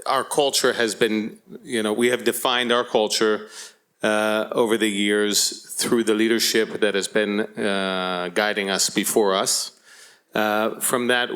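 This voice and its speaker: A middle-aged American man speaking as a guest on a panel, choosing his words deliberately with halting phrasing. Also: lowish voice, fairly bright tone, clean tone. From Florida, United States. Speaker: panel guest, company executive. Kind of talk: panel discussion, explanatory.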